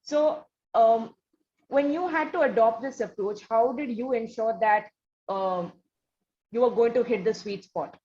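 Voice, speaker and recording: A woman's voice: a little high, unhurried, dark tone. A woman with an Indian accent hosting an interview, speaking in a wordy, effusive, hesitant way. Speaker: interview show host. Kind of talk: conversational interview. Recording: dull, lo-fi audio with background noise.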